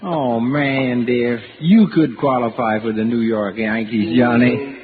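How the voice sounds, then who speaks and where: An actor playing a wary, good-natured local sidekick with folksy casual grammar in a 1950s radio drama. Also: male voice, mid-range voice, steady tone, a little slow, in a large hall, noisy background, close to an everyday voice. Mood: impressed. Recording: dull old radio, off-mic.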